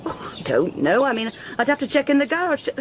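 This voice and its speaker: A woman acting a sharp, highly strung wife in her thirties in a naturalistic radio drama, expressive and insistent. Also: brisk, a little hiss or hum. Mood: wary, impatient. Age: 30s.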